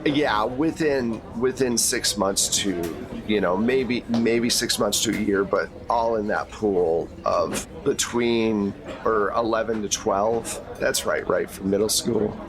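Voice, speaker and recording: A man's voice: on the low side, takes it slowly, few pauses, crowd noise. A man acting as game master, giving a conversational, explanatory briefing in a tabletop roleplay.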